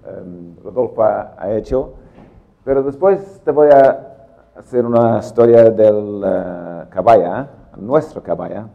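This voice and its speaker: Man speaking halting Spanish with an English accent. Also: on the low side, gravelly, narrow, tinny audio. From England. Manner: halting Spanish with many "em" fillers. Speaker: guest speaker. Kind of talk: technical lecture.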